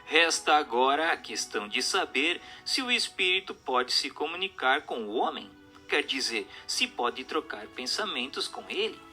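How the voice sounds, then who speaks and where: A man delivers dramatic scripted narration in a fairly high, very sharp and forceful voice. His phrasing is formal and rhetorical, with lively rises and falls in pitch, like a declaimed argument. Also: normal speed, hardly any pauses, faint music behind. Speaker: narrator of an audiobook radio drama.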